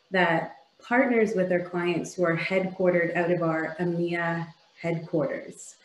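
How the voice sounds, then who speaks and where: A woman's voice: lowish voice, darkish tone, echoey room, poor audio. A woman from Ontario with a Canadian accent, giving a polished, upbeat keynote over a video call. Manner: polished, upbeat presenting with occasional fillers. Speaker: keynote speaker.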